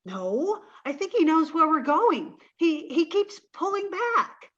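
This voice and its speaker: A woman playing a naive, soft-hearted, scatterbrained young woman in a 1940s-style radio comedy. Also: very high voice, big pitch swings, slow pace, lo-fi sound, heavily acted. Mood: pleading.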